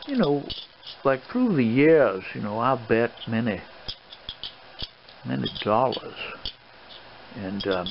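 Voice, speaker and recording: A man playing an elderly colonel and swashbuckling gambler in an ASMR role-play, courtly and boastful, with a folksy, rambling, hesitant delivery. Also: mid-range voice. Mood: fatherly, cautioning. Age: older. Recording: dull lo-fi sound with clear background noise.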